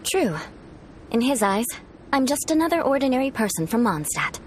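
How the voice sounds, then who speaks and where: A woman's voice: high voice, big pitch swings, normal speed, slight background noise, rough recording. A woman voicing a proud, poised noblewoman knight in a video game, with a composed, refined manner. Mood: hesitant, confiding. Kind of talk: English game voice acting.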